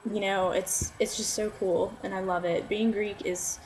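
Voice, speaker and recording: A young woman talks her way through a class presentation in a casual, upbeat ramble, leaning on filler words. Her voice sits in an ordinary middle pitch. A faint hiss runs under the recording. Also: little pitch movement, moderate pace, a little mellow, rough recording. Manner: chatty, many "like" fillers, upbeat. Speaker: student giving a class talk. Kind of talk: informal oral presentation.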